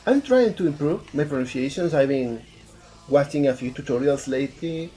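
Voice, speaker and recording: Andalusian-accented Spaniard, mellow voice, chatty streamer. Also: medium pitch, animated, normal speed, poor audio. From Spain. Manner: thinks aloud, repeats words while talking. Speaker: game developer streaming his art. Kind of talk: casual live-stream chat while working.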